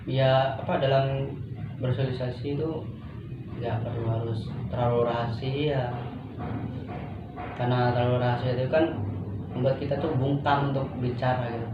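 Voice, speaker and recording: Halting man, distant and very echoey. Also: mid-range voice, little pitch movement. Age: young adult. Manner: halting, searches for words. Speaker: counsellor in a practice session. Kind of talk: counselling conversation, questioning and encouraging. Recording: echoey room, distant mic, muffled, noisy.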